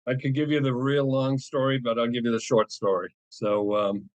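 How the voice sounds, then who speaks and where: A man's voice: mid-range voice, level tone, normal speed, dry recording, muffled recording. A middle-aged man from New England with a Boston accent, a podcast guest talking casually and eagerly, with lots of ums and restarts. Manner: rambling and enthusiastic, many ums and restarts. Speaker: guest, cyber insurance advisor.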